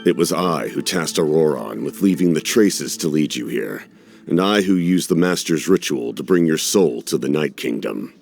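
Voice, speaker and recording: A man playing a grave, calculating schemer in a video game, speaking formally and secretively with measured courtesy. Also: rumbling low, expressive, creaky, darkish tone, soft background music, heavily acted. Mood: grave, persuasive.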